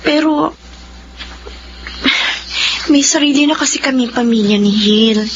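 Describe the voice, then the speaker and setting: An actress playing a long-suffering wife in a radio drama, earnest and pleading. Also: female voice, sits high, a little slow. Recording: lo-fi with faint hiss.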